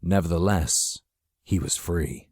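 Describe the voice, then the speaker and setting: Low raspy guy reading a dramatic audiobook, really dry close sound. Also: takes it slowly, a little mellow, dry recording. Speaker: audiobook narrator. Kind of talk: scripted dramatic story narration.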